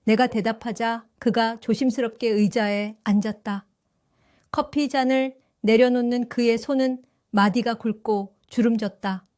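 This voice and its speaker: An older woman tells her story in a calm, reflective first-person narration. Her voice sits fairly high, and the recording is close and completely dry. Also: a little slow, dry recording. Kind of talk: scripted first-person audio drama narration.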